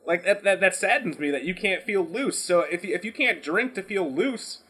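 A slightly tipsy man hosting a podcast, chatting casually and asking questions in an animated, incredulous way, swearing freely. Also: high voice, moderate pace, breathy edge. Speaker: podcast host.

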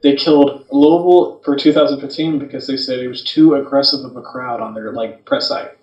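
A man's voice: mid-range voice, a little fast, lo-fi sound. A man casually bantering as a podcast co-host, swearing freely and telling crude, joking stories. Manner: crude, joking, animated storytelling with acted quotes. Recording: roomy, echoing sound, off the mic.

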